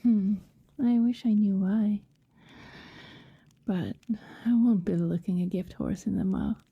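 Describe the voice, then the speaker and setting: Breathy, whispering vampire woman played by an actress. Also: medium pitch, no room sound, phone-quality sound, full character voice. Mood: wistful, protective. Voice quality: soft, breathy, often whispered. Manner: slow, tender, hesitant murmuring. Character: affectionate, wistful female vampire neighbour, secretly predatory. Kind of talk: intimate ASMR roleplay, acted monologue.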